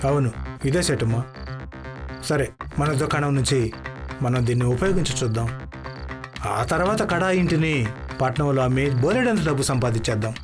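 A man's voice: medium pitch, animated, normal speed, long pauses, over music, close to an everyday voice. A man voicing a greedy village headman, an agreeable accomplice who explains things in a moral story. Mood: scheming.

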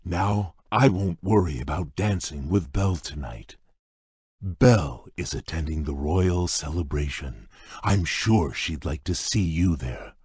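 An actor playing a large, shy, polite beast prince in a video game, speaking in a courteous, formal, earnest way with a husky voice. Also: male voice, unhurried, a little mellow, no room sound, studio quality, exaggerated voice. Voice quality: deep husky voice. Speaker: game character. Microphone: dry close studio mic.

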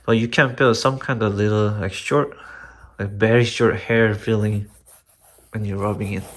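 A man giving a casual, unscripted footwear review in a halting, rambling way with loose wording and mild swearing. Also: on the low side, steady tone, a bit bright. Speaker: sneaker and boot reviewer. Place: small indoor room at a desk. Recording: clearly noisy home recording with clicks.

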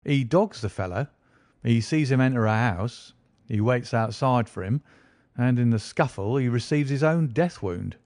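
A man's voice: medium pitch, animated, moderate pace, darkish tone, no room sound, studio quality, lightly acted. A man reading an audiobook, voicing an eager, self-satisfied male police inspector who lectures with confidence. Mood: eager, boastful. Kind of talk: audiobook character voice.

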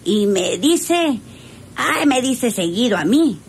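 An actress with a Mexican accent playing a cheeky, gossipy country servant in a radio drama. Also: female voice, fairly high pitch, very animated, slow pace, creaky, slight background noise, heavily acted.

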